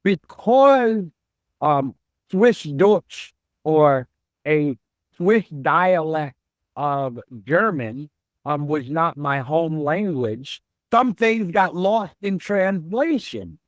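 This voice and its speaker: Measured, slow-talking middle-aged man. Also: on the high side, dry recording, studio quality. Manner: slow, measured and reflective, with restarts. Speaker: CEO guest. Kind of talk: interview answers.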